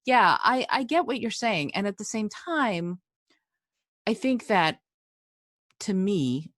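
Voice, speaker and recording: A woman in her fifties hosting a podcast interview, speaking in an expressive, encouraging way that often circles back to restart her thoughts. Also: medium pitch, takes it slowly, no echo, studio quality. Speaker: podcast host, voiceover actor. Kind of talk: conversational interview.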